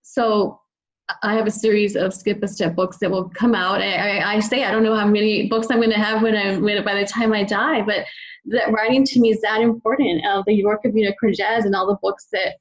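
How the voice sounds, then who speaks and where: A woman's voice: mid-range voice, level tone, fairly quick, lo-fi sound. A middle-aged woman talking enthusiastically and at length as a guest in a conversational interview, an author discussing work. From United States. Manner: enthusiastic, talkative. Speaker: podcast guest, children's book author.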